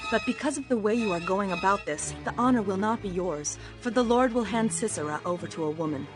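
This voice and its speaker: A woman's voice: medium pitch, brisk, natural voice. A woman playing a firm, resolute prophetess and leader of ancient Israel in a dramatized scripture audiobook. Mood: firm, solemn. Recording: music bed behind the voice.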